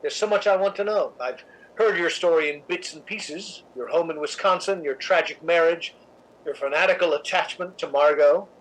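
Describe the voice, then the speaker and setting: An actor playing a suave, cynical, scheming theatre critic in a radio drama, with an arch, measured, theatrical delivery. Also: male voice, a little high, fairly bright tone, poor audio. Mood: smooth, probing. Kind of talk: old-time radio drama narration and acting.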